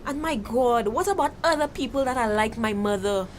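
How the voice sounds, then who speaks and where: Woman acting an outspoken, high-voiced young Caribbean daughter. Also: expressive, a little fast, natural voice. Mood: pointed appeal. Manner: insistent, challenging, questioning. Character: outspoken young woman, anxious daughter pleading for her mother. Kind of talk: TV drama dialogue. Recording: clearly noisy background ambience.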